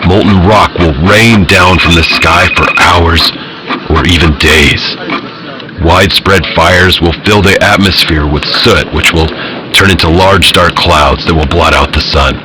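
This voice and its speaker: An emergency broadcaster in an audio drama, with an extremely deep voice over clear noise. He is grave, measured and blunt, stressing each warning. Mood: stern, solemn. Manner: measured, solemn, emphatic.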